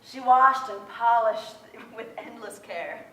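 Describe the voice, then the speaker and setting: An actress playing a gentle, shy young woman in a theatrical staged reading. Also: fairly high pitch, slow. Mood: tender. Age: young adult. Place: theatre stage before an audience. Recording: echoey distant stage microphone, violin music. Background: violin music.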